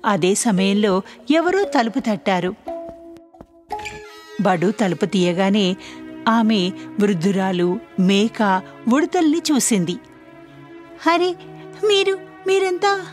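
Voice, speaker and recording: A woman narrator telling a scripted children's story in a clear storytelling manner. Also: mid-range voice, animated, takes it slowly, pauses often, a little mellow, faint music behind.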